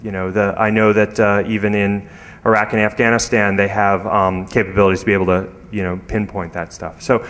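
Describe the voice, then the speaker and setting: A man speaking at a conference in an informal, halting way, with lots of filler phrases as he explains technical matters and takes questions. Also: fairly low pitch, little pitch movement, a bit bright. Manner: hesitant, halting delivery with many filler phrases. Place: conference room, speaking to an audience.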